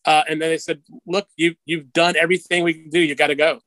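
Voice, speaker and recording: A man's voice: fairly high pitch, flat delivery, quick pace, fairly bright tone, no echo, rough recording. A middle-aged man telling personal stories casually as an interview guest, in a candid, self-deprecating way. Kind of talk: casual conversational storytelling.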